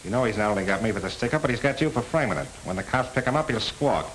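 Man playing tough gangster henchman, American, rapid pushy speech, impatient, old crime film, faint hiss. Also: lowish voice, lively intonation, lightly acted, poor audio. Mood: threatening. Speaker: film actor. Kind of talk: 1930s crime film acting. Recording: hissy 1930s film soundtrack.

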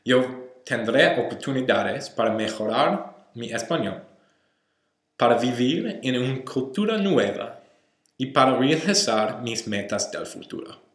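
A young university student, an English-speaking man, reads out a class presentation slowly and carefully, stopping now and then to fix a word. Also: mid-range voice, animated. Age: young adult. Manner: slow, careful reading with self-corrections. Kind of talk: read-aloud class presentation. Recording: roomy echo.

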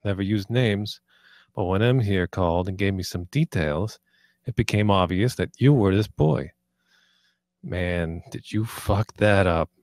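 A man's voice: low-pitched, unhurried, darkish tone, no echo. A man reading the part of a cocky, menacing, sarcastic young drug dealer in a screenplay table read. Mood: taunting. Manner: sarcastic, foul-mouthed, taunting.

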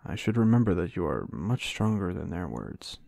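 Man playing a low-voiced, dutiful royal knight. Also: big pitch swings, moderate pace, creaky, mellow, no echo, studio quality, full character voice. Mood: fond, earnest. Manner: formal, earnest, courtly phrasing. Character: dutiful, flustered knight, devoted to his queen. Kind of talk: romantic fantasy audio roleplay, ASMR.

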